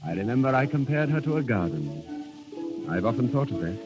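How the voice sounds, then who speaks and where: Gallant army captain played by a male actor, lovestruck and courtly; lively melodic delivery, old radio drama with music behind. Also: medium pitch, moderate pace, close to an everyday voice. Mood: devoted, tender. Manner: formal, courtly, hesitant phrasing with frequent "ma'am". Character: earnest, gallant army captain confessing his love, courtly and gentle. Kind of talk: vintage radio drama acting.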